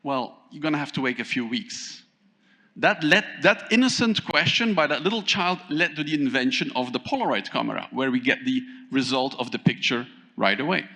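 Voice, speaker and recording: A man from Thailand giving a conference keynote, speaking in an animated way, often turning to the audience with questions. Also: medium pitch, normal speed. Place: large conference hall. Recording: long hall reverb.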